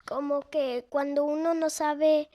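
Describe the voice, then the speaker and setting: A woman's voice: sits high, little pitch movement, slow pace, warm tone. A young girl of almost nine being interviewed, answering hesitantly with many fillers while she searches for words. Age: child, almost 9. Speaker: interviewee, the host's daughter. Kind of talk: conversational interview.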